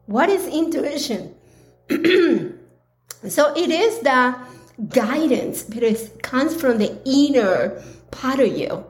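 Woman podcast host, a bit hoarse and raspy, higher voice, unhurried, earnest delivery. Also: expressive, soft background music. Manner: slow, earnest, with pauses and restarts. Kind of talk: casual solo podcast talk.

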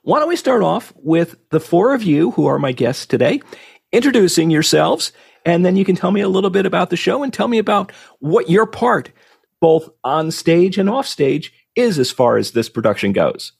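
A man hosting a radio podcast, his voice hoarse and raspy from illness, introducing his guests in a slightly halting way. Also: high-pitched, sing-song. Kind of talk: interview introduction.

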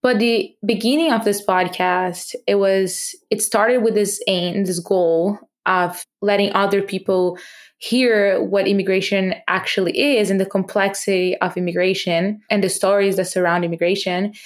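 A young woman speaks English with a noticeable foreign accent. She talks earnestly and with passion, often restarting her sentences and using fillers. Her pitch sits in the middle of the range. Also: fairly even intonation, moderate pace. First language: not English. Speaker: podcast host. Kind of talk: personal talk about her project.

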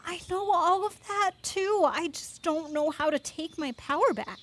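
A woman's voice: extremely high pitch, measured pace, a bit bright. An actress playing an anxious, guilt-ridden woman in an audio drama, speaking earnestly and with exasperated emotion. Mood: distraught. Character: anxious, guilt-ridden woman, abuse survivor facing an inquiry. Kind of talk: fiction podcast audio drama acting.

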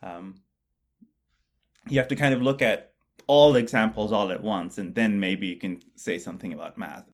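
A man from Bangladesh, co-hosting a casual podcast chat about math in a musing way that often trails off quietly. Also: lowish voice, moderate pace. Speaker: podcast co-host, mathematician.

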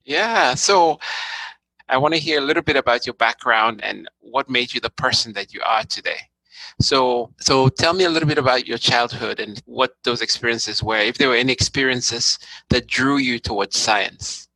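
A man from Nigeria hosting a podcast interview, curious and quick to react. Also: medium pitch, pushed and bright, no room sound, poor audio. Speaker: podcast host.

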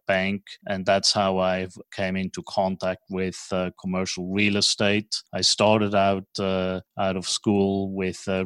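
Man, Swiss accent, fifties, flat even delivery, measured pauses, podcast guest. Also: low-pitched, no room sound. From Switzerland. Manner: measured and explanatory, with frequent "uh" pauses. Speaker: guest, finance executive. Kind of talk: interview answers.

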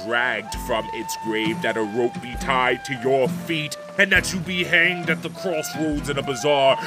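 An actor playing a wrathful, imperious ruler in an audio drama, declaiming in a grandiose, theatrical way. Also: male voice, high voice, full character voice. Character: wrathful, imperious male ruler issuing brutal decrees. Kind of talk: scripted audio drama acting.

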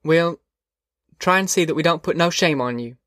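A man voicing a soothing, plainspoken middle-aged farm mother in an audiobook, soft-spoken, with a rural Southern American accent. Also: on the high side, normal speed, no echo, studio quality, pitched above the performer's own voice, lightly acted. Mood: gentle, firm. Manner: soft-spoken, folksy, reassuring. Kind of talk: audiobook character dialogue.

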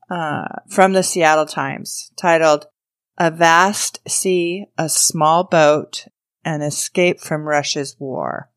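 A woman's voice: low voice, dry recording, studio quality. A woman podcast host reading a scripted introduction and news story aloud as narration.

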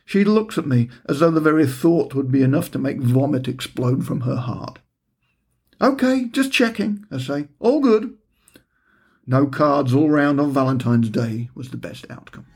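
A man's voice: medium pitch, animated, measured pace, a little mellow, husky. A middle-aged man reading his own book aloud, telling the story in a dry, wry tone with understated humour. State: recovering from a heavy cold. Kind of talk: audiobook narration.

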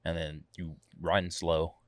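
A man's voice: low-pitched, little pitch movement, slow pace, no echo. A young man co-hosting a podcast in casual chat, giving short easygoing replies and calling his friend bro. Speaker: podcast co-host.

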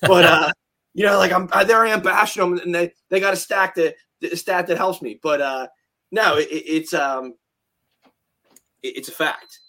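A man's voice: high voice, fairly even intonation, normal speed, bright, forceful tone. A man in his late thirties, a firefighter hosting a casual chat, talks bluntly and heatedly and swears often. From New Jersey. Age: 30s. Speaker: firefighter podcast host.

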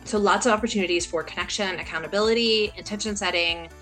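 A woman's voice: medium pitch, fast talker, a bit bright, faint music behind, rough recording. A woman from the United States hosting a podcast, talking casually and chattily in a rambling way with lots of filler words. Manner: chatty, rambling, full of "like" and "you know" fillers. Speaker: podcast host. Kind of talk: casual solo podcast talk.

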